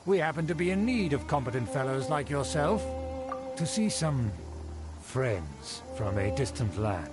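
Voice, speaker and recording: A man's voice: medium pitch, sing-song, unhurried. An actor playing a pompous, snobbish middle-aged nobleman who speaks formally and condescendingly. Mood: haughty. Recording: game dialogue with music behind.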